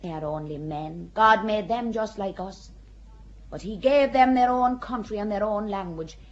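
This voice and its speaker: An actress playing an earnest young peasant girl who speaks plainly and with calm, quiet defiance in a radio drama. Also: medium pitch, moderate pace, dull audio, close to an everyday voice. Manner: plain-spoken, calmly certain, quietly defiant.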